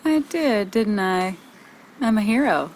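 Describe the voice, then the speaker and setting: A woman's voice: medium pitch, expressive, takes it slowly, a little mellow, a little hiss or hum. An actress playing a wry, teasing woman in a video game, with playful, dry banter. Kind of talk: naturalistic video game acting.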